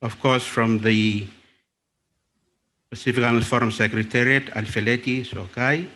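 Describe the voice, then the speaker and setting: A man from Fiji facilitating a panel discussion at a lectern, speaking in a measured, deliberate way with small restarts. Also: medium pitch, fairly even intonation, sharp tone. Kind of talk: formal event moderation. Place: conference hall. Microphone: lectern microphone.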